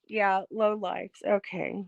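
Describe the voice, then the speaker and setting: A woman hosting a casual livestream, reading out viewer comments and speaking frankly about her life, slightly hoarse as she loses her voice. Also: mid-range voice, dry recording, narrow, tinny audio. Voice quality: frank, blunt, swears freely. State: losing her voice, a bit hoarse. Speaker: livestream host.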